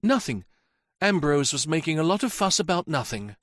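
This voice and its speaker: A man's voice: a little high, big pitch swings, moderate pace, no room sound, clean studio sound, pitched above the performer's own voice. A man voicing a frightened, evasive woman under police questioning, tired and plaintive, stammering as she speaks. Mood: defensive. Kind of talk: audiobook character voice.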